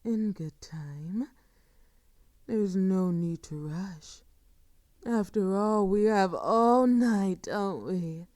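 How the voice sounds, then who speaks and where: A woman playing a sadistic, seductive vampire in an intimate audio roleplay, speaking softly and formally with a silky, teasing menace that often sinks toward a whisper. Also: mid-range voice, very slow, no room sound, clean studio sound. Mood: mock-gracious, coaxing. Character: sadistic, seductive female vampire, courtly and predatory. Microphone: close microphone, dry.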